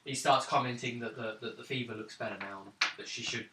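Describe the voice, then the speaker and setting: A man narrating a tabletop role-playing game as game master, with halting, improvised phrasing. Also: medium pitch, steady tone. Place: indoor room around a gaming table. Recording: roomy, distant mic, faint noise, clicks.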